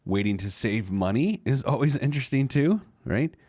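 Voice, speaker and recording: A man's voice: medium pitch, expressive, moderate pace, darkish tone, no echo, clean studio sound. An American man hosting a personal finance show in a conversational, emphatic way, acting out objections and answering them.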